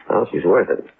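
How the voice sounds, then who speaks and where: A man playing a prickly, cagey interpreter with a needling, drawn-out delivery in a 1950s radio drama. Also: close to an everyday voice. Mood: sly, needling. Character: prickly, cagey male American Army interpreter, slyly familiar. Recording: muffled, noisy 1950s radio recording.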